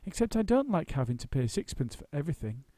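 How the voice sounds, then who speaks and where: A man's voice: on the high side, big pitch swings, fast, warm tone, dry recording, pitched above the performer's own voice. A man voicing a small, polite and earnest young bear who speaks firmly and a little indignantly.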